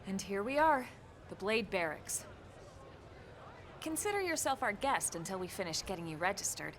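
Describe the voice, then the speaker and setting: An actress playing a calm, businesslike military officer with a matter-of-fact manner in a video game. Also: female voice, medium pitch, normal speed, a little hiss or hum, natural voice. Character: composed, businesslike female military officer, quietly confident. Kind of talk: video game cutscene voice acting.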